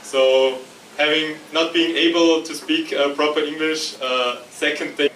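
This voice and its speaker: A man from Austria giving a tech meetup talk, halting with many fillers and restarts, jet-lagged. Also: on the high side, steady tone, a bit bright. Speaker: conference presenter. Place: meetup room. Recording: echoey room, distant mic, faint noise.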